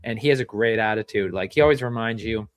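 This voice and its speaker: A man hosting a casual chat, asking long rambling questions and giving shout-outs. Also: lowish voice, fast talker, clear, resonant tone, no room sound. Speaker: show host.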